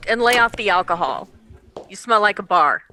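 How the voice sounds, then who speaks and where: Casting: woman as no-nonsense radio-drama police chief, sardonic and weary, with a sharp, bright tone. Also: mid-range voice, expressive, moderate pace, low-quality recording. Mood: stern, sardonic. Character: stern, weary female police boss. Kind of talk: radio play dialogue.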